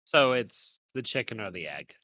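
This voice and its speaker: Bright-voiced man, analytical podcast co-host. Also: mid-range voice, animated, normal speed, dry recording. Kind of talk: discussion of a video game.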